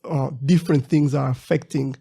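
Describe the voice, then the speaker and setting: A man co-hosting a podcast, explaining things in a steady way with pauses. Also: mid-range voice, vocal fry, husky. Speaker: podcast co-host. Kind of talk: casual podcast chat.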